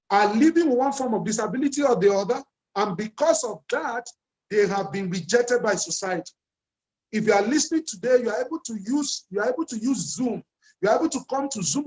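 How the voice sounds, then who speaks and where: A man's voice: very high voice, low-quality recording. A man in his fifties with a Nigerian accent speaks to a young audience over a video call. He talks earnestly, circles back to the same questions and repeats lines to drive them home. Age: 50s. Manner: earnest, repeats key phrases and asks rhetorical questions. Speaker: conference speaker addressing children. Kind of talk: motivational speech to young people.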